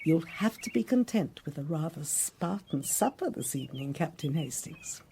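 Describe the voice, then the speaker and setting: A woman's voice: low voice, expressive, normal speed, gravelly, dark tone, a little hiss or hum. An actress playing a genteel, imperious older lady of a country house, with an upper-class English accent, in a radio drama. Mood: effusive.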